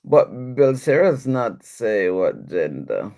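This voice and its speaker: Slow, lively woman live-streamer. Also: rumbling low, creaky. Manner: chatty, answers comments aloud, laughs often. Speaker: livestream host reading viewer comments. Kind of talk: casual live-stream chat.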